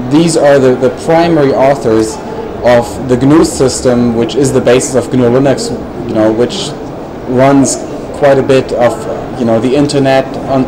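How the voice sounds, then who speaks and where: Male panelist, measured reply, many fillers, clear background hiss. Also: mid-range voice. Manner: measured, frequent "you know" and "um" fillers. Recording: noisy recording.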